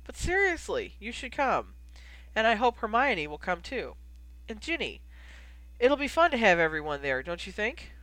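Storybook lad, put on by a reader: tipsy, eager, a bit sheepish, bright and animated. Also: mid-range voice, normal speed, no room sound, pitched above the performer's own voice, low-quality recording. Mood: earnest, hopeful. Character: tipsy, eager, slightly sheepish young man.

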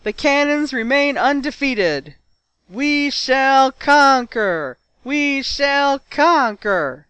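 A thrilled male match commentator from a fantasy audiobook, acted out by the narrator, calls the play in high, loud, triumphant bursts. The delivery is driven and shouted, recorded dry and close. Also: expressive, unhurried, no room sound, heavily acted. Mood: triumphant, excited. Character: excited male sports commentator calling a wizard match.

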